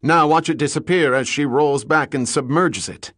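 A man's voice: on the high side, moderate pace, a bit bright, no echo, clean studio sound, pitched above the performer's own voice. A man playing a blunt, commanding navy sea captain in an audiobook, emphatic and instructing as he explains. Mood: excited, exasperated. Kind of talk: dramatic audiobook character reading.

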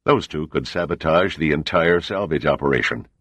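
A man doing a gruff, blunt admiral. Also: rumbling low, moderate pace, a bit bright, no echo. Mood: stern. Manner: blunt and confrontational. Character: gruff, angry male admiral.

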